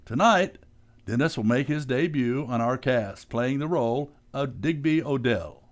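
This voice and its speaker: A man's voice: mid-range voice, expressive, unhurried. A man, an actor, giving a formal live introduction on air in a ceremonious, presentational way. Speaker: stage actor introducing a colleague.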